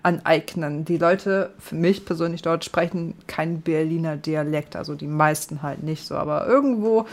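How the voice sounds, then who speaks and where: A man's voice: fairly high pitch, moderate pace, hardly any pauses. A man from northern Germany with a northern German accent, hosting a podcast in casual chat, hesitant with many fillers and frequent laughs. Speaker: podcast host.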